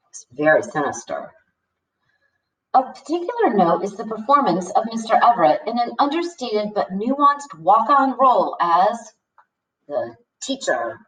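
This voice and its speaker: A woman's voice: a little high, a bit bright. A woman reading as a sarcastic, melodramatic schoolboy, mock-grand in a storybook read-aloud. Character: sarcastic, melodramatic schoolboy writing reviews and letters. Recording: distant microphone.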